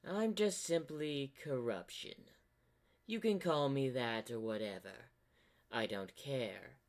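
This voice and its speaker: A man's voice: mid-range voice, slow pace, gravelly. A man voicing an ancient corrupted zebra spirit from a fan-made fantasy cartoon, smug and sly, with a theatrical, teasing drawl. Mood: smug, casual.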